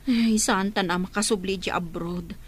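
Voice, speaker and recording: A woman's voice: medium pitch, normal speed, breathy edge, natural voice. A woman acting a practical, probing villager in an Ilocano-accented radio drama. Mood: concerned.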